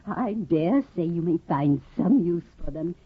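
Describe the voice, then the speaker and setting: An actress playing a doting old aunt. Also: female voice, lowish voice, lively intonation, unhurried, breathy edge. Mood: fond. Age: older. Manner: gushing, fond, prying. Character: fussy doting elderly aunt. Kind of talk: 1950s radio drama acting. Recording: tinny old radio broadcast.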